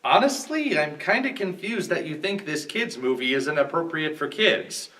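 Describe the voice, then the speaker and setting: A man with a somewhat high voice delivers scripted film analysis as a guest reviewer. He sounds slightly distant from the microphone. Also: expressive, normal speed, bright, forceful tone.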